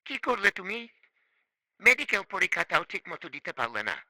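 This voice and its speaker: A man's voice: high voice, big pitch swings, normal speed, sharp tone, no echo, exaggerated voice. A man playing a stern, frustrated middle-aged father in a cartoon, scolding and complaining yet loving. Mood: angry, reproachful. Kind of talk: amateur cartoon voice acting.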